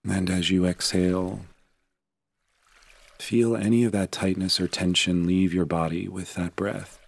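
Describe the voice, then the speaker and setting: Very low-voiced man guiding meditation, creaky. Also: flat delivery, no room sound. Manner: gentle, unhurried, soothing instruction. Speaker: meditation guide. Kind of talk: guided meditation narration.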